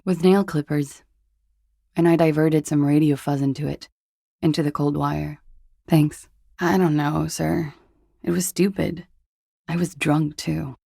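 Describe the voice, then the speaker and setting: An actress playing a jaded, hard-partying young disco dancer in a video game, husky and languid, with a guarded, deadpan delivery. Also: female voice, deep voice, dry recording, clean studio sound, full character voice. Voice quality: husky, gravelly with vocal fry. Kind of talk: video game voice acting, intimate dialogue.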